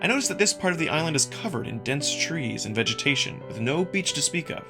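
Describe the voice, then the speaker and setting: A man reading scripted first-person narration from a visual novel in an expressive storytelling manner. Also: on the high side, fast, gravelly, over music. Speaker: narrator and voice performer.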